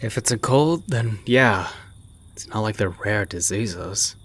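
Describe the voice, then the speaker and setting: A man's voice: lowish voice, expressive, slow pace, a bit bright, slightly rough, heavily acted. A man playing a casual, teasing smoker in his late twenties for a manga dub, with a wry manner. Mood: teasing, reassuring. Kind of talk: anime-style manga dub acting.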